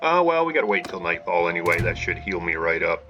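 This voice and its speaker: A man giving excited live commentary over his gameplay, talking to his viewers and shouting during fights. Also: low voice, fast, highs cut off. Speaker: gaming channel host. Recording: game music behind voice.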